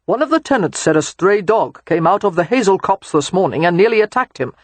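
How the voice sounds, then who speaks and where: A woman's voice: mid-range voice, lively intonation, brisk, no echo, lightly acted. A woman reading an audiobook, voicing a father who calls out a firm warning.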